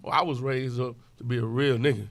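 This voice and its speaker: A man speaks quickly and earnestly, leaning on street slang as he makes his points. His voice is dry and close, with no room sound around it. The delivery stays conversational and emphatic. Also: medium pitch, fairly even intonation, no echo. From Houston, Texas. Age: 40s. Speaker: podcast guest, club owner. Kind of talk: casual conversation.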